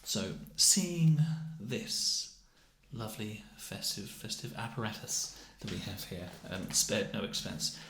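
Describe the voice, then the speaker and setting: A soft-spoken British man in an ASMR roleplay as a clerk, talking haltingly and a little awkwardly, often dropping close to a whisper. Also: lowish voice, expressive, gravelly, reverberant, a little hiss or hum. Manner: hesitant, gently awkward, halting delivery. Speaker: ASMR roleplayer playing a festive assessment clerk. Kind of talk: ASMR roleplay, improvised personal-attention chat.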